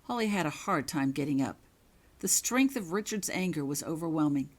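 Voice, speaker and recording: A woman reading her own fiction as a scripted, dramatic story narration, in measured, suspenseful short phrases. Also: low-pitched, expressive.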